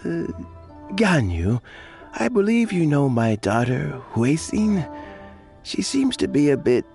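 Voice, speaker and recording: Slow, swooping old gentleman. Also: on the high side, big pitch swings, vocal fry, soft background music, heavily acted. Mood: hesitant concern. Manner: courteous, rambling, hesitant. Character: wordy, humble old man, secretly a high official. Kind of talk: video game voice acting.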